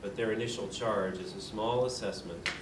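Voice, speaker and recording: A man chairing a school board meeting, explaining procedure in a measured way with frequent fillers. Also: fairly low pitch. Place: meeting room. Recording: distant mic, echoey room, noisy.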